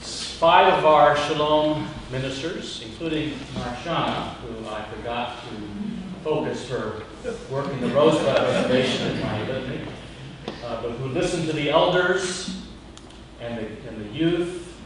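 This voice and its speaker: A man's voice: medium pitch, unhurried, background noise. A man leading a chapel service, making announcements and inviting the congregation to read together. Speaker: worship leader. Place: large chapel hall. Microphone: far room recording. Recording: echoey hall, far from mic, music behind.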